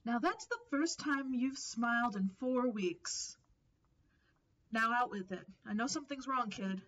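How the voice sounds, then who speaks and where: A woman's voice: on the high side, unhurried, a bit bright, highs cut off. A woman playing a caring, motherly telephone operator in an old-time radio drama, coaxing in friendly slang.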